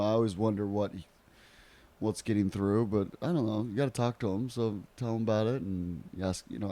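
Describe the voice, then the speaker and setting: A man's voice: fairly low pitch, dark tone. A man co-hosting a podcast in casual chat, with a joking, bantering manner. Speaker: podcast co-host.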